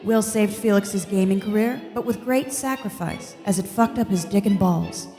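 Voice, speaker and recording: A woman playing a casual, wry, deadpan God narrating a comedic radio play. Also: mid-range voice, moderate pace, in a large hall, over music, natural voice. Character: blasé, crude, wry God in heaven.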